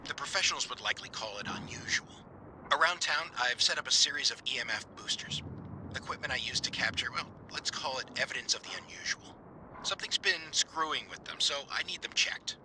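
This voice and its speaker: An actor playing a brusque, eccentric amateur paranormal investigator in a video game, gruff and wry over a two-way radio. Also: male voice, medium pitch, pushed and bright. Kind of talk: naturalistic game voice acting. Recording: noisy two-way radio transmission.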